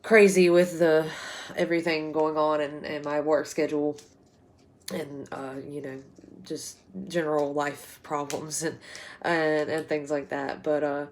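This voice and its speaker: Woman in her twenties, low voice, slightly hoarse, rambling teacher recording a class update on her phone. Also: level tone, unhurried, slight background noise. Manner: chatty and rambling, many ums and restarts. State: slightly hoarse from a sore throat. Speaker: college writing instructor. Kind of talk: casual recorded class announcement. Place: small bedroom at home, indoors. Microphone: phone camera at arm's length.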